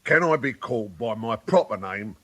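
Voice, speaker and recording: A man's voice: fairly low pitch, animated, moderate pace, a bit bright. A man playing a big, volatile but sentimental prison inmate in a comic radio play, speaking with childlike earnestness. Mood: earnest, hesitant.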